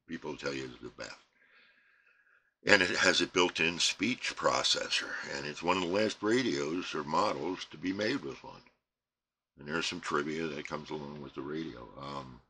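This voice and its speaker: A middle-aged American man giving a casual, unscripted show-and-tell, rambling and halting as he trails off. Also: rumbling low, bright, forceful tone. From United States. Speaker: CB radio hobbyist presenting his equipment. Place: indoor radio bench crowded with equipment. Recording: clear background noise, room ambience.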